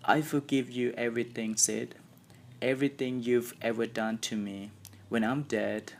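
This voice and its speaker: A man plays a young storybook boy pretending to be ill. He sounds plaintive and self-pitying, slightly raised in pitch, with some background noise. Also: steady tone, normal speed, creaky, lightly acted.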